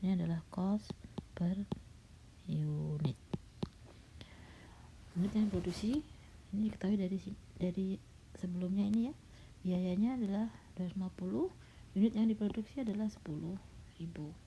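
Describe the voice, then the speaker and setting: A middle-aged woman lecturer, slightly hoarse and coughing, patiently walking students through a recorded slide lecture with frequent fillers. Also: mid-range voice, moderate pace, pauses often, warm tone, slight background noise. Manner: patient, explanatory, with frequent "nah" and "ya". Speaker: university lecturer. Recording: dull lo-fi recording.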